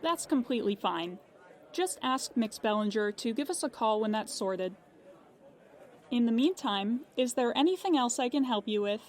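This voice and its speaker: A woman's voice: on the high side, expressive, normal speed, darkish tone, lightly acted. A woman playing a polite, dry-humored call-center agent for paranormal insurance in a comic audio drama.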